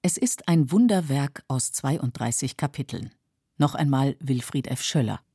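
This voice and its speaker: A woman with a mellow, husky voice reading scripted documentary narration. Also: extremely low pitch, expressive, unhurried, no echo, studio quality.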